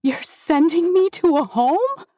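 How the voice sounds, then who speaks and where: A woman voicing a frightened, pregnant teenage girl who begs in a high, tearful voice. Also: big pitch swings, takes it slowly, warm tone, no echo, clean studio sound, exaggerated voice. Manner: pleading, tearful, high-pitched.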